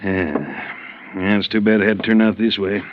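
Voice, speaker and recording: A man's voice: on the low side, flat delivery, moderate pace, a little hiss or hum, natural voice. A man playing a drawling insurance agent. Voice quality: drawling, colloquial. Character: folksy Southern male insurance agent, easygoing. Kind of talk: 1950s radio drama acting. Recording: dull old radio broadcast.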